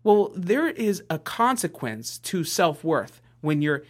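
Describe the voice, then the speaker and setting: Earnest man with a lively, swooping voice. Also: a little high. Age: 30s. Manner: earnest, halting, many fillers and restarts. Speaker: podcast co-host. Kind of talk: casual motivational podcast chat.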